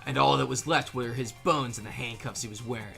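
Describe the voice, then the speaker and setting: A man's voice: medium pitch, fairly quick, creaky, over music. A man doing a dramatic scripted reading that he breaks up with joking, casual banter. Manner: jokey, stumbles over lines and breaks off into banter. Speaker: fandub performer reading the game's case summary.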